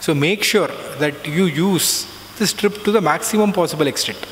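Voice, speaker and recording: A middle-aged man tells a reflective personal story, often pausing with "uh" and "so". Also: a little high, fairly bright tone. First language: not Hindi. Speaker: alumnus giving a talk. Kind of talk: personal spiritual talk. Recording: long reverb, faint background noise.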